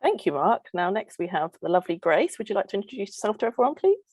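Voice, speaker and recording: A woman researcher hosting a live online science session, welcoming and explaining things clearly over a video call. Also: mid-range voice, big pitch swings, quick pace, darkish tone, no echo. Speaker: host and postdoctoral researcher. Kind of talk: friendly live webinar presenting.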